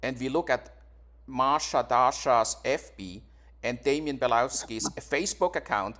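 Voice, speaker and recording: A man's voice: mid-range voice, unhurried, fairly bright tone. Stammering, defensive Swiss man. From Switzerland. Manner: defensive, repeats words and restarts often. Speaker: YouTuber being questioned. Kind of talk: casual interview answers.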